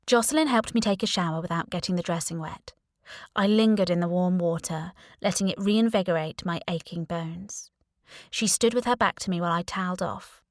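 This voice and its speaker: A woman reading scripted first-person fiction as an audiobook narrator, in a wry, expressive way. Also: on the low side, fairly quick, no echo, studio quality.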